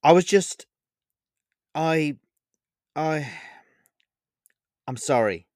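A man voicing a centuries-old male vampire husband who talks in a flippant, coaxing, lively way. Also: on the high side, measured pace, no echo, clean studio sound, voice pushed up. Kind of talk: storybook character voice.

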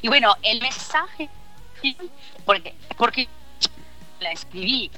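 A woman's voice: fairly high pitch, animated, slow, bright, forceful tone. An Italian-born woman with an Italian accent, talking as a singer in a radio interview, hesitant and searching for words. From Italy. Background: faint background music.

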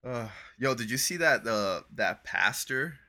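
Bright-voiced young man, loose rambling chat. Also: mid-range voice, unhurried. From Brazil. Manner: relaxed and rambling, swearing, lots of "bro" and "like". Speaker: podcast co-host. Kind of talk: casual chat.

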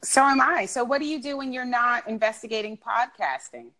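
A woman's voice: fairly high pitch, fairly quick, bright, forceful tone, rough recording. A woman hosting a podcast, upbeat and encouraging, asking her guest questions in a casual conversation. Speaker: podcast host. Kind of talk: casual interview and intro. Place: Brooklyn.

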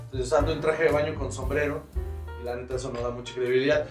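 A man's voice: mid-range voice, fairly even intonation, fast. A Mexican man hosting a casual comedic podcast, rambling and joking in slangy speech as he tells stories. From northern coastal Mexico. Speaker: podcast host. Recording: roomy echo, distant mic, music behind.

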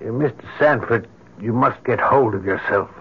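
A man playing a kindly, solicitous doctor of the church in an old-time radio drama, courteous and gently firm. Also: medium pitch, moderate pace, close to an everyday voice. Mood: sympathetic, firm. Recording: noisy, muffled old radio recording.

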